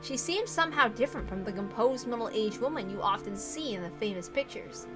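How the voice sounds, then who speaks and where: A woman reading a visual novel aloud as expressive scripted narration. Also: on the high side, fairly quick. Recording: music playing behind the voice. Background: background music.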